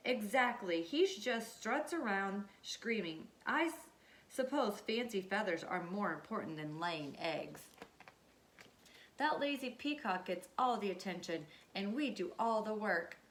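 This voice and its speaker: A woman's voice: mid-range voice, lively intonation, measured pace, a bit bright, slight background noise. A woman voicing vain, gossipy farm hens in a storybook, with a huffy, showy, emphatic manner. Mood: indignant. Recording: echoey room.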